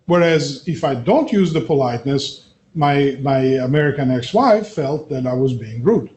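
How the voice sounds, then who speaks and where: Male lecturer from Belgium who grew up in Italy, mid-pitched, conversational with frequent fillers, teaching in a classroom. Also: lively intonation, normal speed, a little mellow. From Belgium, raised in Italy. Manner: conversational, hesitant with many fillers. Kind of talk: university lecture.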